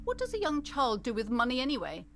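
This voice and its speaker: A woman's voice: high voice, wildly swooping pitch, fast, slight background noise, exaggerated voice. An actress voicing a prim, snobbish female bookshop owner who lectures customers in a mannered, haughty way. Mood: doting. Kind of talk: video game character voice.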